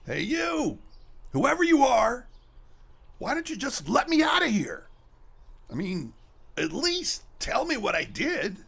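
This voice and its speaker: An actor playing a panicked, belligerent killer held captive in a radio mystery, swinging between bluster and pleading with a gravelly creak. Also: male voice, extremely high pitch, wildly swooping pitch, slow, long pauses, a bit bright, slight background noise, exaggerated voice. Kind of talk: radio mystery theatre acting.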